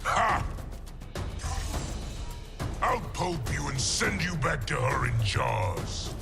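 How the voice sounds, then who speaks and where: Video game villain: brutal boastful emperor, very gravelly, swinging pitch, over loud score. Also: male voice, sing-song, drawn-out words, full character voice. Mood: menacing, contemptuous. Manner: menacing, domineering, boastful. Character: brutal, boastful otherworldly warlord emperor and husband. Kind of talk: dramatic video game cutscene acting. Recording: loud cinematic music behind voice. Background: dramatic game score.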